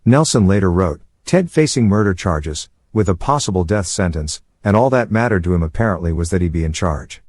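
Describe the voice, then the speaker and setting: A man reading scripted true-crime documentary narration in a measured, formal manner. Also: low voice, lively intonation, darkish tone, no echo.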